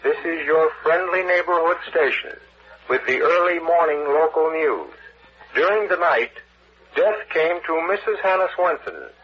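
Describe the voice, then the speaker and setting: An actor playing a formal local radio newscaster with an American accent, reading a morning bulletin in measured 1940s style. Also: male voice, a little high, lively intonation. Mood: formal, grave. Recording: muffled old radio, husky broadcast sound.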